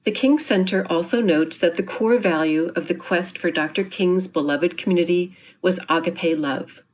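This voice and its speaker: Woman with a lowish voice, formal presenter reading a prepared introduction in measured phrases. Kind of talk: scripted explanatory reading.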